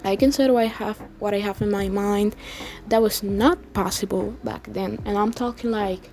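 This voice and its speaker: An eighteen-year-old woman from the Dominican Republic, a native Spanish speaker with a Dominican accent, talking casually to viewers about herself and haltingly searching for words. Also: mid-range voice, steady tone, darkish tone, a little hiss or hum. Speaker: language-learning YouTuber. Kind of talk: casual personal storytelling to viewers. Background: music.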